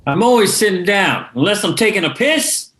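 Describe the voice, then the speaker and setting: A man's voice: high voice, big pitch swings, normal speed, a bit bright. A man playing a cocky, crude mob boss with a brash manner in a screenplay table read.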